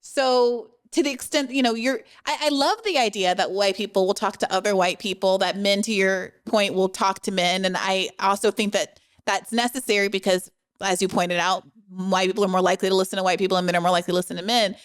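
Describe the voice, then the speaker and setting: A woman hosting a podcast interview, articulate and measured, asking long, structured questions. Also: mid-range voice, fairly even intonation, smooth. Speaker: podcast host. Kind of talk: interview conversation.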